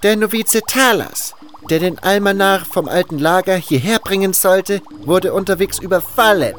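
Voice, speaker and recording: An actor playing a haughty, fanatical cult guru and alchemist in an audio drama, hissing and drawing out words with contempt. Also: male voice, sits high, moderate pace, fairly bright tone. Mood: impatient, condescending.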